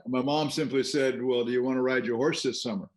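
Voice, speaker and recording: A man's voice: medium pitch, steady tone, brisk. An older man, a retired tennis coach, telling stories about his past as a guest in a casual interview.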